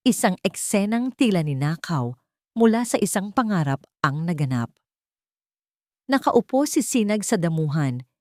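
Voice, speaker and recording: Low-voiced Filipino woman narrating, close and dry. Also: darkish tone, no echo. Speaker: story narrator. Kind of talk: scripted dramatic story narration.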